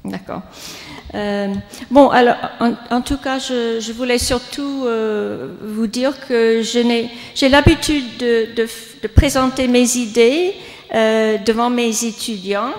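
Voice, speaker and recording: A woman's voice: fairly high pitch, fairly even intonation, slow pace. Older woman, hesitant, echoing hall. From United States. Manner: hesitant, many fillers and restarts. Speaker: guest speaker, professor. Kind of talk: academic lecture. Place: lecture hall. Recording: long reverb of a hall.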